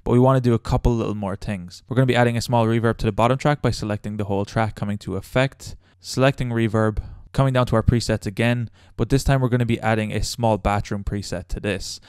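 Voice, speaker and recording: A man's voice: on the low side, fairly even intonation, fast talker, few pauses, a little mellow, clear, resonant tone, no room sound, clean studio sound. A young man hosting a casual step-by-step tutorial as a voice-over. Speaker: tutorial host.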